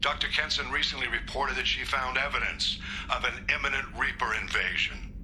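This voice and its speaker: A stern male admiral with an extremely hard, bright edge to his voice. He briefs formally in clipped phrases, with faint noise behind him, as in a dramatic game. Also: medium pitch, fairly even intonation, a little fast, poor audio. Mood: grave, urgent. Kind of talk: dramatic video game dialogue.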